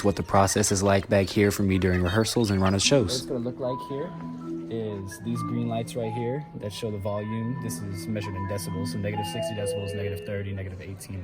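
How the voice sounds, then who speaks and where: A young man in his late teens with a deep voice, casually explaining sound equipment in a theater booth. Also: fast talker, music in the background. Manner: relaxed, chatty explaining. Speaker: student sound engineer.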